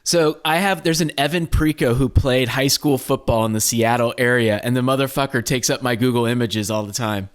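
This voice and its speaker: An American man with a medium-pitched voice, co-hosting an interview podcast. His delivery is informal and chatty, the kind that swears freely. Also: a little fast, hardly any pauses, smooth. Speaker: podcast co-host. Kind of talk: casual chat, interviewing.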